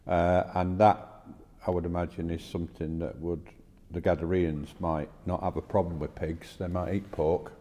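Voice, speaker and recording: A man co-hosting a podcast, reading and discussing scripture in a halting, tentative way. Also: low-pitched, level tone, mellow. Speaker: podcast co-host. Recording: reverberant room with long echo.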